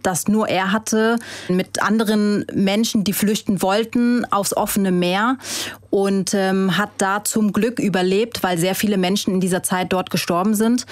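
A young woman from Germany talks about her life as an interview guest. She speaks in a thoughtful, hesitant way, with fillers and small restarts. Her voice sits at a middle pitch, and the sound is close and dry with no room echo. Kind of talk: reflective interview answers.

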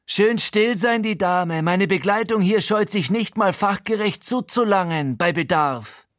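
A man's voice: sits high, measured pace, a bit bright, no room sound, studio quality, voice pushed up. A man voicing a brash, cheeky crook in an audiobook, cocky and slangy with mock-polite menace. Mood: cocky, threatening. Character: brash, cheeky male crook giving gleeful orders.

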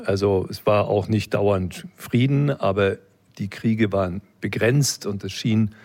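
A man's voice: on the low side, gravelly, darkish tone. A man answering interview questions in a reflective, lecture-like way, explaining like a professor and adding small check-in tags. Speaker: interview guest, philosophy expert.

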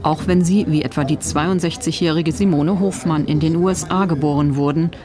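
A woman narrating a news report in a measured, even reporting voice. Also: sits low, a crowd in the background. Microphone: studio voice-over mic.

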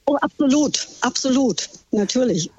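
A woman from Germany calls in to a radio show as a tour guide aboard a ship. She talks in a friendly, easygoing way, often pausing with fillers. Her voice comes through a dull, muffled phone line with a dry sound. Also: sits high, animated, breathy edge, no room sound. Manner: friendly, a little halting with fillers. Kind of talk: casual phone-in chat. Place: on a ship at sea.

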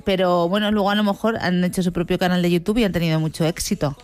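Low-voiced woman chatting. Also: steady tone, fast, darkish tone, soft background music. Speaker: talk show panelist. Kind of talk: casual advice chat.

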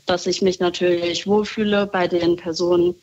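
A woman talking casually on a call, reflective and soft-spoken, often laughing lightly. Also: fairly low pitch, level tone, normal speed, muffled recording. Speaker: guest.

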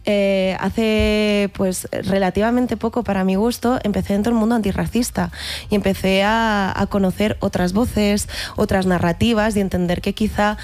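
A woman's voice: mid-range voice, steady tone, normal speed, a little mellow. A woman in her thirties from Barcelona with a mixed Catalan and Madrid Spanish accent, an actress reflecting in an interview and searching for her words. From Spain (Barcelona). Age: 30s. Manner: thoughtful, searching for words with frequent hesitations. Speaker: actress guest.

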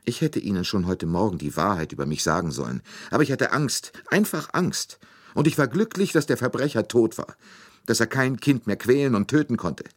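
A man's voice: medium pitch, big pitch swings, brisk, voice pushed up. A man voicing a timid, grieving Swedish woman, a former nanny, tearful and with a failing voice, in an audiobook story. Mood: tearful, anguished. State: crying, voice failing.

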